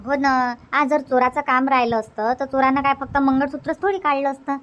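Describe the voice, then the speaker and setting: Cartoon village auntie played by a woman, high voice, Vidarbha Marathi accent, suspicious gossip with emphatic questioning. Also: steady tone, fairly quick, fairly bright tone. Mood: gossipy, suspicious. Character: gossipy village aunt, suspicious, chatty older relative. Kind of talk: cartoon comedy dubbing.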